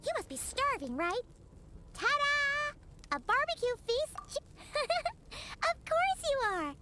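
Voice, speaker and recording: A woman voicing a tiny, cheerful, excitable childlike companion in a video game, squeaky and chirpy. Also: takes it slowly, noisy background, full character voice. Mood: excited, cheerful.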